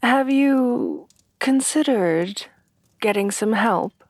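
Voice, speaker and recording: Woman voicing a slow, soft, refined monster bride. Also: fairly high pitch, animated, dry recording, clean studio sound, exaggerated voice. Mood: gentle, doting. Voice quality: soft, breathy, often dropping to whispers. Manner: refined, formal, courtly, tenderly doting. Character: refined, devoted headless horsewoman bride-to-be, adult monster girl. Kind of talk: soft-voiced romantic ASMR roleplay.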